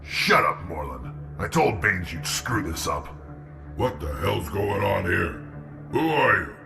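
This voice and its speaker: Gruff game thug, low gravelly voice, menacing, swings up and down. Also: male voice, very animated, takes it slowly, over music, heavily acted. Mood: threatening. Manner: menacing, blustering. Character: gruff male thug, blackmailing middleman. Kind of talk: video game character acting.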